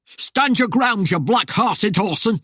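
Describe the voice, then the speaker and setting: A storybook villager, a guilty and frightened man full of bluster. The voice is pitched up into a squeaky croak and bellows in rough country dialect. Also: expressive, normal speed, fairly bright tone, dry recording, clean studio sound. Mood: blustering defiance. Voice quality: squeaky croak when afraid, high-pitched. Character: frightened, blustering rustic murderer husband.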